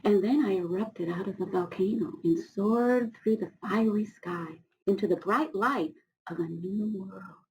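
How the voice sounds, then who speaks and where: Ancient storybook rock, read by a woman: patient, placid, contented, unhurried storyteller. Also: mid-range voice, lightly acted, low-quality recording. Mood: wondering. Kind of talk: storybook character reading.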